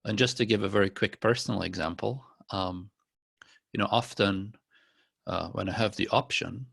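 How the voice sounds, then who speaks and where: A middle-aged man, a native Dutch speaker, giving a corporate presentation to partners in a relaxed way with many fillers. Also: on the low side, normal speed, gravelly, husky, no room sound, clean studio sound. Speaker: company founder presenting to partners.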